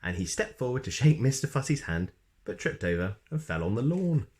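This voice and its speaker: A man reading a children's story expressively, with playful emphasis and dramatic pauses. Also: medium pitch, big pitch swings, darkish tone. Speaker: storybook reader.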